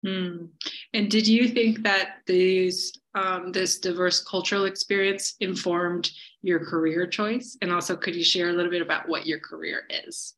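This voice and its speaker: A woman's voice: fairly low pitch, fairly even intonation, moderate pace, a bit bright, rough recording. A woman hosting a podcast who asks her guest questions in a friendly, curious way, often stopping on hesitant fillers. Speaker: podcast host and interviewer. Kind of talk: scripted intro, then casual interview.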